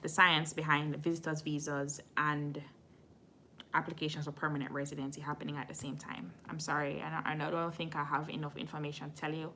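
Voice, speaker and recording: A woman's voice: low-pitched, little pitch movement, fairly quick, bright, forceful tone, slight background noise. A woman from Jamaica hosting a casual live stream, answering viewer questions frankly and admitting when she doesn't know. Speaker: immigration channel host.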